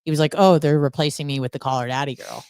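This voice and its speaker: A woman's voice: low-pitched, steady tone, fast, darkish tone, clean tone, no echo, clean studio sound. A woman co-hosting a radio show, chatting casually and giving blunt, gossipy opinions with plenty of swearing.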